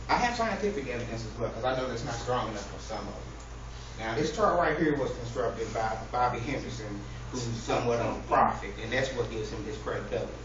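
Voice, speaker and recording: A man's voice: mid-range voice, expressive, fairly quick, few pauses. Man speaking in a very echoey room. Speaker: public speaker. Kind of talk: humorous persuasive speech to a live audience. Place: echoey indoor room with an audience. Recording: distant, very echoey, noisy, muffled room recording.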